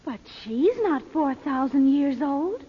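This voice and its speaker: An actress playing an eager, excitable, curious young girl in old-time radio drama style. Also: high voice, slow pace. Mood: frightened wonder. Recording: muffled, hissy old radio recording.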